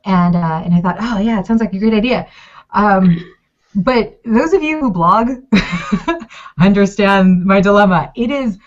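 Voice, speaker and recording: Woman, from Mexico, native Spanish speaker, giggly, goofy, chatty, mid pitch, slightly muffled call audio. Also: expressive, measured pace. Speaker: consulting client, food blogger. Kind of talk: casual video call chat. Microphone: video call.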